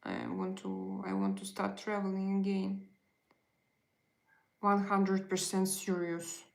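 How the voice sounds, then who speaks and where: A woman with a Russian accent hosts a relaxed livestream, speaking slowly with a gravelly edge to her voice. She chats warmly with her viewers, pausing often over her words. Her voice carries a roomy echo. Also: mid-range voice. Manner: chatty, hesitant, greets and answers chat messages. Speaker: livestream host. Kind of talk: casual livestream chat with viewers.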